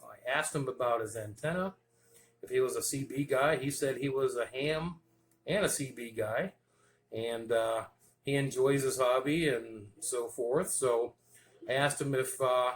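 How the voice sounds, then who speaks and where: Regular guy doing a casual hobby vlog, folksy storyteller, medium pitch, noisy background. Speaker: hobbyist video host. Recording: clear background noise.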